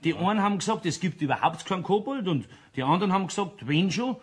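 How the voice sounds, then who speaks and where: A man playing a sly, chummy locksmith with a husky voice and a Bavarian accent in a German audio play. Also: sits high, lively intonation, quick pace. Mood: coaxing. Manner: hesitant, chuckling, folksy.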